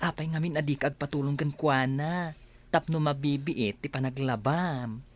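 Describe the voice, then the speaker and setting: An actress with a Filipino accent playing an easygoing, nosy young friend in a radio drama, with drawn-out, animated reactions. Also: female voice, deep voice. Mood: sympathetic, curious.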